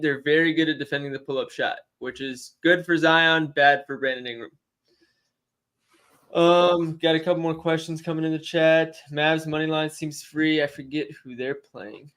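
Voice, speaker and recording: A young man co-hosting a casual sports betting livestream, chatty and quick to laugh while he answers questions from the chat. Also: a little high, fairly even intonation, a bit bright. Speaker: sports betting stream co-host.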